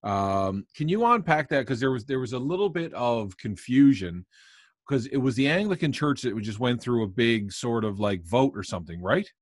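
A man's voice: medium pitch, animated, moderate pace, no echo, clean studio sound. A man in his thirties hosting a podcast, asking loose, hesitant questions from a room at home. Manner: loose, hesitant, lots of fillers. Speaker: podcast host. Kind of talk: casual interview questions. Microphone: studio microphone on a stand.

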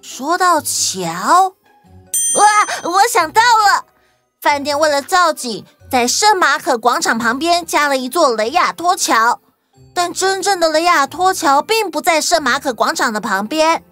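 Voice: high voice, sing-song, measured pace, sharp tone, dry recording, heavily acted. A performer voicing an eager, anxious little flying lion helper in a children's audio story, earnest and easily flustered. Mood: excited. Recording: music bed behind voice.

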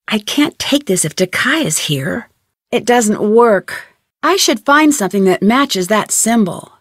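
Woman voicing a young girl detective in a video game, curious n upbeat, mid pitch, talks clearly, super dry sound. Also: dry recording, close to an everyday voice. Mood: matter-of-fact. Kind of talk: video game voice acting, scripted lines and letter narration.

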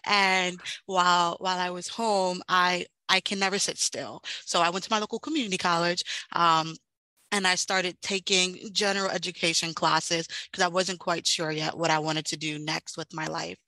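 A woman's voice: on the low side, little pitch movement, normal speed, sharp tone, dry recording, rough recording. A woman on a video-call panel telling her own career story in a candid, upbeat way, with frequent ums.

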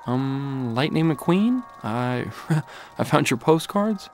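An actor playing a cocky, friendly young race car in a video game, breezy and boyish, with an American accent. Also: male voice, mid-range voice, expressive, takes it slowly, soft background music. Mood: awkward.

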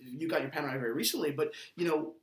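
A man's voice: a little high, racing. An American man in his early twenties chatting casually and explaining things, with lots of "like" and "you know". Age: early 20s. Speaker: co-host of a watch show. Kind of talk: casual chat. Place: small room indoors, seated at a table. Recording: slightly echoey room.

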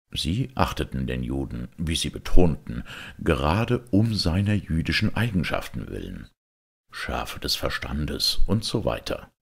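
A man giving an expressive, deliberate reading of a literary text, with emphatic pauses. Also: rumbling low, gravelly, slightly rough.

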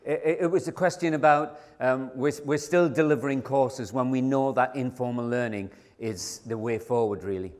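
A man's voice: medium pitch, normal speed, few pauses. An older man speaks to a packed conference hall in a conversational, emphatic way, putting questions straight to the audience. His voice sits in a middle register and carries a long reverb tail from the big room. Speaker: keynote speaker. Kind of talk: conference keynote talk.

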